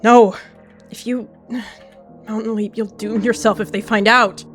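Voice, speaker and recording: A woman's voice: fairly high pitch, steady tone, slow pace, faint music behind, voice pushed up. A woman voicing a grim, possessive evil she-cat warrior, talking with pleading yet menacing intensity. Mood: fierce. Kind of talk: voice-acted roleplay dialogue.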